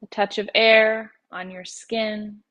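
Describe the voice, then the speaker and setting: A woman yoga nidra teacher guides a meditation in a medium-pitched voice. Her intonation stays very even, and she phrases slowly, leaving gentle pauses between instructions.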